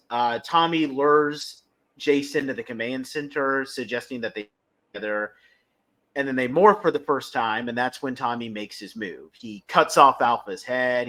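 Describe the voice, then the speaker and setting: A man co-hosting a podcast, explaining a story in a casual, halting review style with emphatic stresses. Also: medium pitch, bright, forceful tone. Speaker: podcast co-host.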